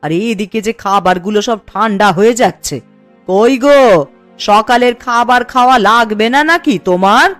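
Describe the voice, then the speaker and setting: A woman's voice: fairly high pitch, normal speed, fairly bright tone, dry recording, lightly acted. A woman playing a scolding, principled village mother in a cartoon story, with a nagging, homely manner. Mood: exasperated. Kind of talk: Bengali cartoon story acting. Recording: music behind the voice. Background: background music.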